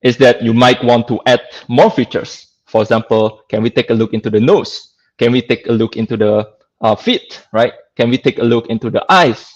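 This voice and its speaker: A man's voice: medium pitch, moderate pace, highs cut off. A man with a Singaporean accent gives an informal online technical lecture as a guest speaker, talking conversationally and often checking in with "right?".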